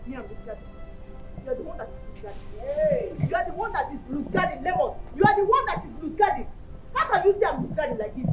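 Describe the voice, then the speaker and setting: A woman with a Nigerian accent playing a frantic young woman who keeps insisting about vanished money, repeating herself loudly. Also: sits high, sing-song, quick pace, music in the background, rough recording. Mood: scornful. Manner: repetitive, insistent, shouty. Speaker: woman claiming missing money.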